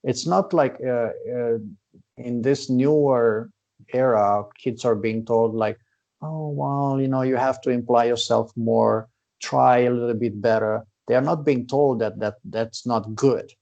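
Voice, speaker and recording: A man's voice: medium pitch, steady tone, unhurried, gravelly, a little mellow, muffled recording. A man from Transylvania in Romania, a karate instructor explaining in casual conversation and checking in with "right?". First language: Hungarian. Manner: earnest, explaining at length with repeated "right?".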